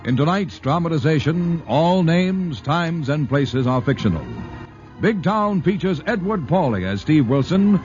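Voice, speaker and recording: Animated vintage male announcer over organ. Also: a little high, a little slow. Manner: formal, declamatory. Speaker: radio announcer. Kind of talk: 1940s radio announcing. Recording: muffled 1940s broadcast. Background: theater organ music.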